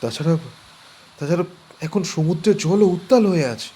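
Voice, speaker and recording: A man's voice: on the high side, lively intonation, normal speed, warm tone, close to an everyday voice. An actor playing a stranded young man who speaks politely and warily in a radio drama. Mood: wary.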